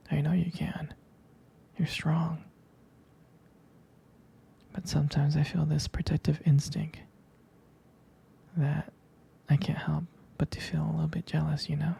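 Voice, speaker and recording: A man playing a jealous, protective and devoted boyfriend in an intimate ASMR roleplay, whispering slowly and hesitantly with a breathy, gravelly voice. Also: on the high side, mellow, exaggerated voice. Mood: uneasy, jealous. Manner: slow, hesitant, tender.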